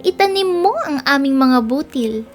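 A Filipino-accented woman voicing cheerful, gentle field grasses that instruct in a melodious, earnest storybook manner. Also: high-pitched, animated, normal speed, faint music behind, pitched above the performer's own voice. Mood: cheerful, guiding.

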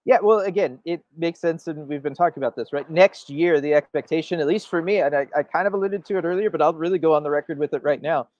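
A man's voice: a little high, fast talker, hardly any pauses, a little mellow, muffled recording. A man co-hosting a sports show, giving emphatic opinionated commentary and often checking for agreement. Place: indoor room, video call.

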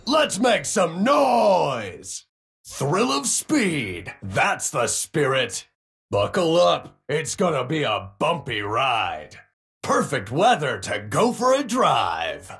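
A brash robot race-car hero from a video game, with a heavily rasped, gravelly voice. He drawls with boisterous swagger and sounds thoroughly cocky, over clear music. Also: mid-range voice, a bit bright, heavily acted. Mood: excited, rowdy. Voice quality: gravelly, husky. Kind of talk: video game character voice lines.

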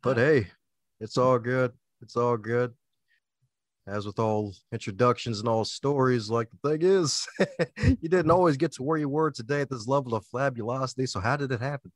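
A man's voice: medium pitch, normal speed, no room sound, studio quality. A man hosting a podcast with upbeat, playful, jokey interview banter. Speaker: podcast host.

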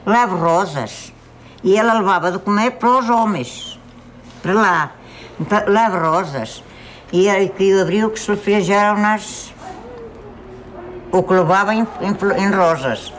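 A woman's voice: mid-range voice, a little slow, pauses often. An older farm woman in her seventies, speaking with a northern Portuguese rural accent, recounts a village legend. She halts and repeats her words as she goes, and faint outdoor noise hangs in the background. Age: 70s. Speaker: farmer. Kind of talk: telling a local legend.

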